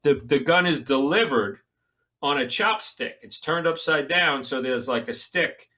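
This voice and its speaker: A man's voice: a little high, lively intonation, unhurried, pushed and bright. A man co-hosting a casual talk show, telling stories in a blunt, crude way. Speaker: podcast co-host, actor. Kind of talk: casual chat.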